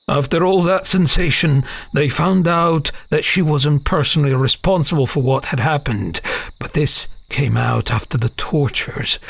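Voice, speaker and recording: A man narrating a scripted fantasy audiobook as a dramatic, measured, solemn storyteller. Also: mid-range voice, gravelly, breathy edge, no echo.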